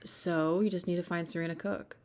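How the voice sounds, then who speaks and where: A woman playing a cold, calculating schemer in an ASMR audio drama, speaking in a measured, menacing way. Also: on the low side, fairly even intonation, lightly acted. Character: cold, calculating female schemer hunting a secret group.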